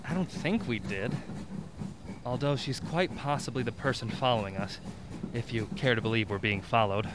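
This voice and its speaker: A man's voice: mid-range voice, moderate pace, natural voice. A man playing a hesitant, polite and wry travelling companion in an audio drama, wordy and bumbling. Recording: heavy background noise.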